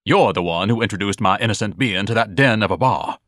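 A man's voice: lowish voice, a little fast, fairly bright tone, no room sound, clean studio sound, natural voice. A man voicing a pompous, self-pitying grown son in an audiobook, declaiming in florid, haughty phrases. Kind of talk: audiobook character dialogue.

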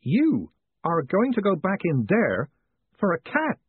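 A cool, doubtful young woman who questions every answer, pitched a bit higher, as a male narrator puts her on. The voice is husky and breathy, dry and thin like a phone line. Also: huge pitch swings, slow, no echo, heavily acted. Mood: skeptical. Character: sharp, skeptical young woman. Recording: dry, tinny phone-like sound.

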